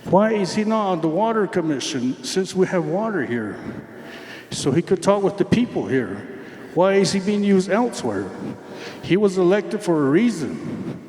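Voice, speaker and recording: An earnest man, higher-voiced than most, speaking up at a community meeting. He presses his questions emphatically and sometimes stumbles over repeated words. The sound rings out in a large, reverberant hall. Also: sing-song, moderate pace, a little mellow. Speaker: community member raising questions. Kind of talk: public comment at a community meeting. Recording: echoey hall with long reverb.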